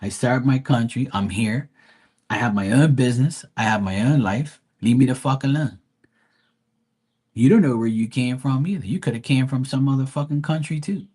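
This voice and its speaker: A man's voice: mid-range voice, moderate pace, a little mellow, breathy edge. A middle-aged Bermudian man ranting bluntly and profanely in a casual live-stream monologue, full of fillers and restarts.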